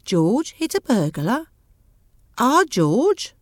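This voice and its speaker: An inquisitive, easily impressed working man in an audio storybook, performed by a woman narrator. He exclaims and stresses his words, swinging widely in pitch. The sound is close and dry. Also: sing-song, moderate pace, no room sound, close to an everyday voice. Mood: astonished. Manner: emphatic, exclaiming. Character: nosy, astonished, admiring male factory friend.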